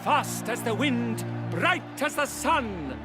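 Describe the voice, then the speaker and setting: Fantasy game herald: very high pitch, slow grand proclamations, pompous and theatrical, music behind. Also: male voice, big pitch swings, full character voice. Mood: florid excitement. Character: florid, pompous male herald bard proclaiming in rhyme. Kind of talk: theatrical video game voice acting.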